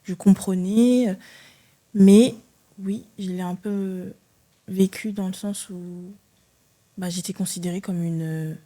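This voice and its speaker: A young woman in her early twenties with a dark, relaxed voice at an average pitch. She is from France and talks casually as a podcast guest, feeling her way through her answers in informal phrasing. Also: slow pace, long pauses. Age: early 20s. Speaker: podcast guest, judo athlete. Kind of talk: casual interview talk.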